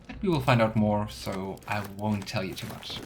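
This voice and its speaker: A man's voice: lowish voice, normal speed, a little mellow, faint music behind. A man giving casual, chatty game commentary as a let's play streamer, sometimes reading game text aloud.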